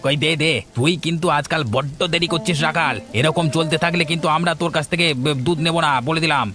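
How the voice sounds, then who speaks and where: Man acting a brisk, blunt village family man. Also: on the high side, steady tone, bright, forceful tone, soft background music. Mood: grumbling. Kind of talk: Bengali cartoon story voice acting.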